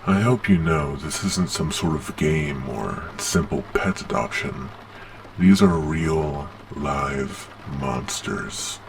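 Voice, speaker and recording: A man's voice: extremely low pitch, creaky, slight background noise, full character voice, rough recording. A man playing a weary, matter-of-fact monster agent with a businesslike manner in an ASMR roleplay. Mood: stern, brusque.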